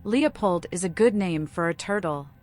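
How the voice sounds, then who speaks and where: A woman's voice: on the low side, animated, moderate pace, a little mellow, natural voice. A woman voicing a mad, flippant vampire seer in a video game, with a whimsical, childlike, erratic delivery. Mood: scatterbrained.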